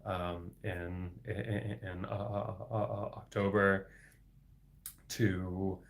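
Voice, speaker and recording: Low gravelly man with a heavy stutter. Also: level tone, slow pace. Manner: heavy stutter with repetitions and blocks, frequent soft laughs. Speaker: livestream host reading viewer questions. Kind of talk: casual solo livestream chat.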